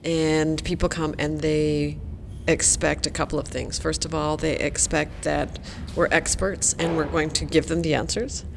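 A woman's voice: deep voice, flat delivery, measured pace, vocal fry, noisy background. A woman educator answering interview questions in a frank, emphatic way.

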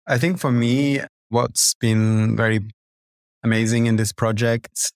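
A man from Norway, a guest designer, gives a personal interview answer in a thoughtful, halting way. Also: mid-range voice, steady tone, no room sound, studio quality.

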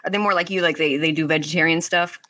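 A woman's voice: low-pitched, steady tone, fast talker, bright, forceful tone, no room sound, studio quality. A middle-aged woman co-hosting a casual interview chat. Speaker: podcast co-host.

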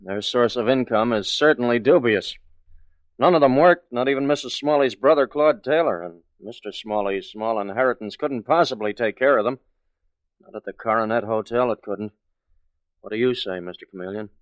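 An actor playing a dutiful, plain-spoken detective sergeant sidekick in a 1940s radio drama, matter-of-fact in delivery. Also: male voice, medium pitch, normal speed, no echo, close to an everyday voice. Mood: businesslike. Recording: muffled 1940s radio recording.

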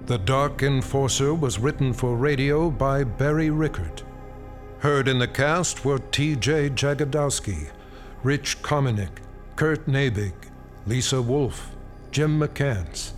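A man serves as announcer for a radio drama. His voice is medium in pitch, reading scripted credits and sign-offs. Music runs clearly underneath.

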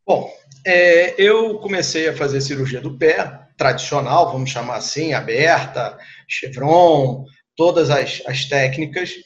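A man's voice: on the high side, unhurried, muffled recording. A middle-aged Brazilian man with a Rio de Janeiro accent, recounting and explaining conversationally as a guest surgeon, repeating words and adding tag questions. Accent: Rio de Janeiro Brazilian Portuguese.

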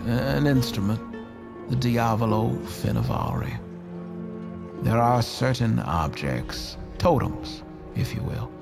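A man's voice: on the low side, gravelly, full character voice. An actor playing a sinister, gleeful otherworldly horror host, pompous and theatrical, savouring each phrase as he narrates with menace. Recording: loud music behind the voice.

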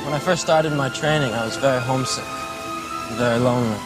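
An actor playing an earnest, wistful young trainee in soft, reflective film dialogue. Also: male voice, mid-range voice, a little fast, close to an everyday voice. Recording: film clip with loud music behind. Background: loud music.

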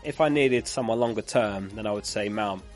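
Guy streaming live, voice going hoarse, chatty and laughing at chat comments, bit of music low behind. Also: moderate pace. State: losing his voice. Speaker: fantasy football streamer.

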